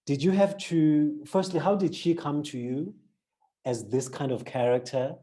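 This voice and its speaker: A man's voice: on the high side, a little slow, dark tone. A man with a South African accent interviewing an author, first reading an introduction and then asking questions with many restarts. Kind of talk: book interview.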